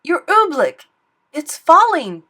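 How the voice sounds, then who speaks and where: A woman's voice: fairly high pitch, slow, a bit bright, pitched above the performer's own voice. A woman voicing an earnest young page boy who sounds worried and urgent, often calling out. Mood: alarmed.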